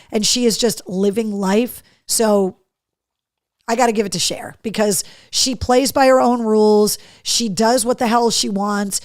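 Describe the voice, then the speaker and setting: A middle-aged woman hosting a live show, chatting casually and candidly with viewers in a slightly hoarse voice, with a cheeky streak. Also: fairly high pitch, no room sound, clean studio sound. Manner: candid, cheeky, mildly sweary. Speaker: podcast and livestream host. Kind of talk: casual live chat to viewers, reading out comments.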